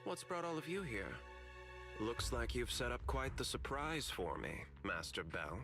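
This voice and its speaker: An actor playing a suave, calculating corporate executive in a video game, speaking in a formal, measured, sardonic way. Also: male voice, medium pitch, big pitch swings, over music. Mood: feigned surprise. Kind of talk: scripted video game dialogue.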